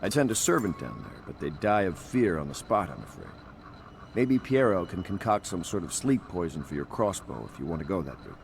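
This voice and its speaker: Commanding game leader: very low, creaky voice, formal and courteous, animated intonation. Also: male voice, noisy background, heavily acted. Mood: courteous, grave. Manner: formal, polite, measured authority. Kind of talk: video game dialogue.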